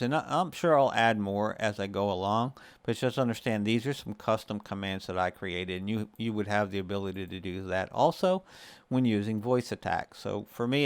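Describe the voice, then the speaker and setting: A man's voice: lowish voice, clear, resonant tone, no room sound. A man hosting a casual gaming tutorial, explaining things step by step in a conversational way. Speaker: video creator and host.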